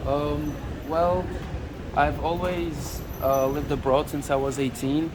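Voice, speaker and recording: A man in his twenties from Portugal, answering interview questions in a measured, hesitant way. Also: fairly high pitch, level tone. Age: 20s. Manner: measured, hesitant, with many ums. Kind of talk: street interview. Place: city street outdoors with traffic. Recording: loud street noise.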